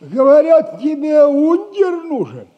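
An actor playing a crafty old retired soldier in a 1960s stage comedy, folksy, whining and wheedling. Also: male voice, very high-pitched, huge pitch swings, slow pace, full character voice. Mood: haggling, stubborn. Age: older. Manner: folksy, wheedling, theatrical delivery. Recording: dull 1960s audio play recording.

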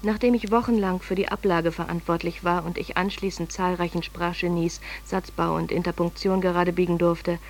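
A woman's voice: lowish voice, fairly even intonation, moderate pace, few pauses, close to an everyday voice. A woman playing a letter writer, reading her own report aloud in a radio drama. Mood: dry, composed.

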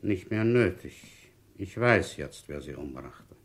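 A man playing a shrewd, wry village police inspector in a radio play, deliberate and brooding as he tells his case. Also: deep voice, lively intonation, slightly rough, exaggerated voice. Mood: coolly accusing. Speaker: narrator and lead actor. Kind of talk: radio play, first-person detective narration.

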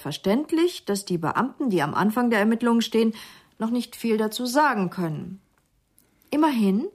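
Wry, brisk detective's secretary. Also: female voice, medium pitch, a little mellow, husky. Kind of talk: radio play dialogue.

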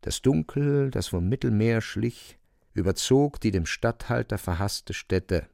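A man's voice: on the low side, expressive, a little slow, gravelly, background noise. A man narrating a literary radio play.

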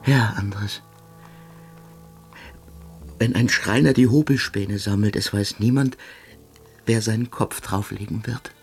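Resigned soldier around thirty, low slow voice, halting delivery, radio play, music behind. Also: male voice, steady tone. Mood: bleak. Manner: halting, terse, measured. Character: doomed thirty-year-old soldier, brooding, resigned. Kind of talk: radio drama acting.

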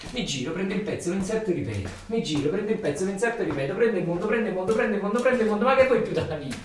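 A man's voice: sits high, animated, racing. Man acting a weary Neapolitan factory worker, echoey recording. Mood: numb, mechanical. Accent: southern Italian, Neapolitan. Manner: incantatory, repetitive phrasing. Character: weary, embittered southern Italian male factory worker. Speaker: stage actor in a monologue. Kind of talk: theatrical radio monologue with dialect. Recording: echoey room, far from mic, noisy.

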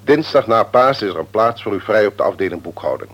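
An actor playing a formal, authoritative company director in a radio play, speaking in stiff, measured official phrases. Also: male voice, lowish voice, lively intonation. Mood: formally stern. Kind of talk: Dutch radio play acting. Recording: dull lo-fi old radio recording.

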